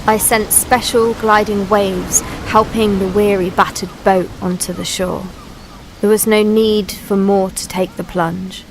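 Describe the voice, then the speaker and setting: A woman plays the Sea itself, narrating a radio drama. She is grave and brooding, speaking slowly in measured poetic phrases at a medium pitch, with faint watery ambience behind her. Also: flat delivery, noisy background, lightly acted. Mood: grave, vivid.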